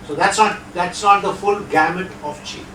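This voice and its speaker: A man's voice: high-pitched, moderate pace, pushed and bright, a little hiss or hum. Indian man lecturing in an echoey hall. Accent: Indian English. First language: not Hindi. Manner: conversational, rhetorical asides. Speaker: guest lecturer. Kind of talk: lecture with audience Q&A. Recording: echoey hall, distant mic.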